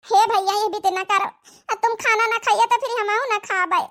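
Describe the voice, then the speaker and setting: Cartoon village sister played by a woman: tiny, very high voice, Awadhi accent, plaintive and dutiful. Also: fairly even intonation, moderate pace, a bit bright. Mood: concerned pleading. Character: devoted, grieving young unmarried sister in a village cartoon. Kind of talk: dramatic cartoon dialogue in dialect.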